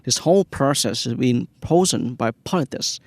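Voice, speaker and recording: A man's voice: mid-range voice, animated, normal speed, no room sound. A man with a Vietnamese accent, a native Vietnamese speaker, presenting scripted news in English.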